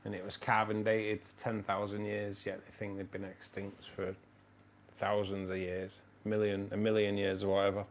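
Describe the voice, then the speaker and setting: Rambling live stream host, man with a lowish voice, muffled lo-fi audio, faint hiss behind. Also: monotone, normal speed. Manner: chatty, rambling, keeps repeating himself. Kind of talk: casual live stream chat. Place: home room with fish tanks.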